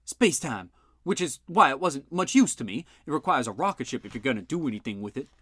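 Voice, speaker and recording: A man voicing a gruff, blustering older male inventor who talks loud and boastful. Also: medium pitch, very animated, fast, no room sound, pitched above the performer's own voice.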